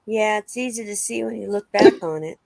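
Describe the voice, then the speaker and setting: A woman's voice: medium pitch, brisk, vocal fry, a little mellow, no room sound, natural voice. A woman playing a sympathetic investigator, close and dry. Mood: supportive. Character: sympathetic investigator, supportive and validating. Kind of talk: dramatic reading of police interview transcript.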